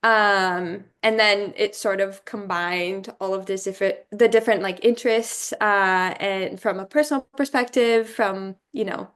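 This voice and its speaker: A young woman from Brazil, a former student, talking through her path in a relaxed interview, thinking aloud with many "um"s and "you know"s. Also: medium pitch, a little slow, few pauses, clean tone. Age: young adult. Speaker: alumna guest. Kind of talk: casual interview conversation.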